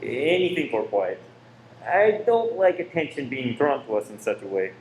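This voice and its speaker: A man's voice: medium pitch, big pitch swings, moderate pace, natural voice. A man acting an evasive, grumbling husband and cinema owner in an amateur radio play, defensive in manner. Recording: echoey room with faint noise.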